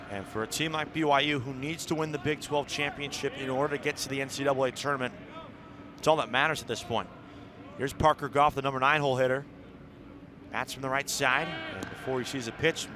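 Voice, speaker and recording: Male baseball play-by-play voice, mid pitch, clipped live radio calls, steady outdoor background noise. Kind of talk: live radio sports commentary. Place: outdoor ballpark broadcast.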